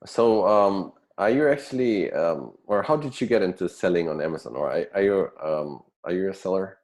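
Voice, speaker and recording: A man hosting a casual interview show, speaking in a somewhat halting way. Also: low voice, expressive, a little mellow. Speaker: podcast host.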